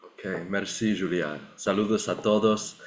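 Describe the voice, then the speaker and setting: Young male presenter, low voice, careful learner reading a prepared statement. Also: steady tone, slow pace. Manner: careful learner's delivery, occasional self-corrections. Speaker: graduate student and project participant. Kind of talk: prepared presentation read aloud.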